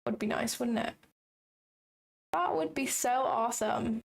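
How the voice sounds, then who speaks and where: Raspy-voiced young woman thinking aloud. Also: fairly high pitch, steady tone, a little slow. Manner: chatty, thinks aloud, self-mocking. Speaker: trading livestream host. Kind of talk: casual live commentary while trading.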